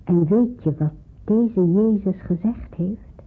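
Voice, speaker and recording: Gentle pious middle-aged aunt in an old radio play, really slow and solemn, tinny sound. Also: female voice, mid-range voice, slightly rough. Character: devout, tender middle-aged aunt consoling a child. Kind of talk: Dutch radio drama acting.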